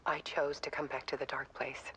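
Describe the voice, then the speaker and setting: A whispering devoted wife. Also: female voice, extremely low pitch, flat delivery, fairly quick, a little hiss or hum. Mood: hushed. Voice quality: soft, intimate. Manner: hushed, breathy whisper. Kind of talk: video game cutscene voice acting.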